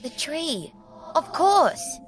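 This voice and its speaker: Audio drama girl played by a woman: high-pitched, slow-spoken, wary yet earnest older sister. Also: sing-song, soft background music, heavily acted. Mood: doubtful, earnest. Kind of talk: audio fiction drama acting.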